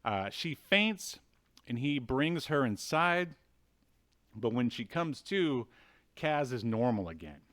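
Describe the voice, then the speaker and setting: A man in his thirties co-hosting a movie review show, recapping a film in a casual, wry, halting way. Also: mid-range voice, very animated, fairly bright tone. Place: indoors at home, video call.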